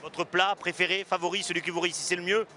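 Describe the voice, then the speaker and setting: A man's voice: high-pitched, steady tone, bright, forceful tone, a little hiss or hum. A man in his forties with a Marseille accent, teasing and joking his way through vox-pop interviews in a busy indoor shopping mall with a handheld mic. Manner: teasing, playful, quick banter.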